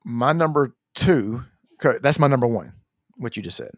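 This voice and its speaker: A man's voice: medium pitch, lively intonation, moderate pace, dry recording, studio quality. A man co-hosting a gaming podcast, talking in a loose, slangy, repetitive way as he chats casually. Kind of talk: casual chat, counting down favorites.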